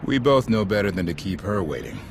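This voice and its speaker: A man playing a loyal, easygoing, roguish starship pilot in a video game, speaking in a casual, expressive way. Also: low-pitched, brisk, vocal fry, noisy background, full character voice. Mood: sincere, wry. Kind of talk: video game drama acting.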